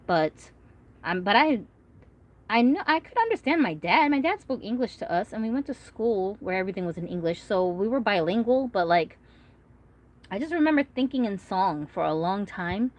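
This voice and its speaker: A woman's voice: medium pitch, animated, normal speed. A woman in her thirties whose first language is Korean, hosting a casual livestream in a chatty, rambling way and reading out viewer comments. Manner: chatty, rambling, frequent fillers. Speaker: doll collector vlogger.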